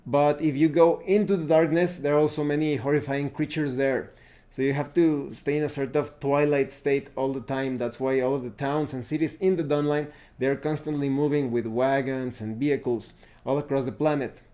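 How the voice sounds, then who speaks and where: A man with a soft, mellow voice at a medium pitch talks through a casual review as its host. He explains things informally, pausing to search for words and correcting himself as he goes. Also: steady tone. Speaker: tabletop game review host. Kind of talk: casual spoken review with recommendations.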